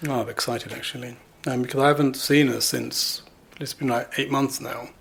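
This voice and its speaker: A middle-aged man from Bangladesh chats easily in an interview, his voice gravelly with a husky edge. He talks in a relaxed way and laughs often. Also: medium pitch, moderate pace. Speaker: interviewee, planetary science student. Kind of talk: casual interview.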